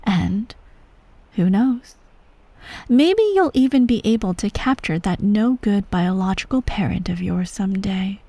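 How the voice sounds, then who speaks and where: A woman playing a ruthless, self-righteous empress mother in a scripted ASMR bedtime roleplay, speaking in a hushed, measured, regal way with soft whispery tones. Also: mid-range voice, expressive, no room sound. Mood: tender, scheming.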